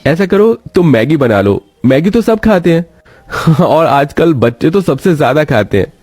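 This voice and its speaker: Man as a supportive husband, slightly high voice. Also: very animated, moderate pace, a little mellow, husky, no echo. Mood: encouraging. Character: supportive young husband, an auto-rickshaw driver.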